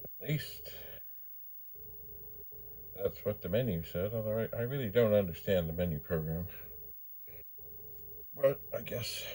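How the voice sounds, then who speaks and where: A man giving unscripted hands-on demo commentary as a vlog host, musing haltingly and repeating phrases to himself. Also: on the low side, animated, warm tone, a little hiss or hum, low-quality recording. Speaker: retro computer vlogger, host.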